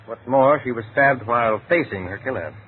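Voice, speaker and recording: Man playing measured doctor-sleuth on tinny old radio. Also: mid-range voice, lightly acted. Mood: composed, analytical. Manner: measured, deliberate, deductive. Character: calm, shrewd, educated male doctor turned amateur sleuth. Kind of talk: 1940s radio detective acting. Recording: noisy, tinny vintage radio recording.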